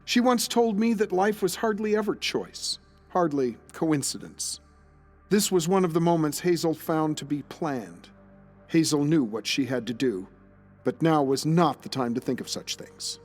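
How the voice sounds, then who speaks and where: An actor playing a grave storyteller, narrating a friend's dark case in a scripted audio drama. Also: male voice, a little high, animated, measured pace, faint music behind. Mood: grave, ominous.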